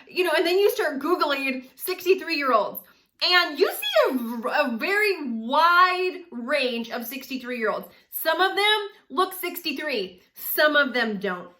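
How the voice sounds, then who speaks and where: A woman of forty talking casually to camera in a chatty, animated way, laughing often. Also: sits high. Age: 40s. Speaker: vlogger.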